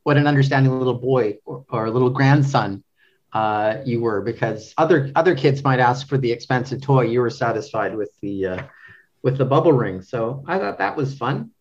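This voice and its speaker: A man speaking as an interview guest, a translator discussing a book casually, in a halting way, rephrasing as he goes. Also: mid-range voice, expressive, dull audio.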